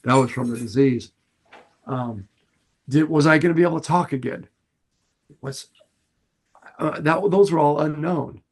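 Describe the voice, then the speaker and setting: An older man with a raspy voice, co-hosting a casual podcast and telling his story earnestly, often laughing. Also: a little high, measured pace, long pauses. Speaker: podcast co-host.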